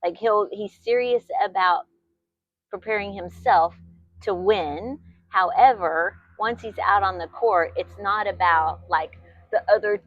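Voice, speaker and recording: A woman's voice: mid-range voice, unhurried, lo-fi sound. A woman in her fifties from Texas with a Texan accent, co-hosting a casual chat and laughing often. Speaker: channel co-host.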